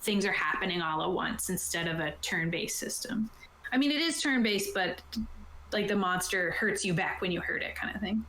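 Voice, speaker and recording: A woman's voice: medium pitch, lively intonation, normal speed, pushed and bright, slight background noise. A woman game master casually explaining tabletop game rules in an informal, conversational way. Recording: roomy, echoey voice sound.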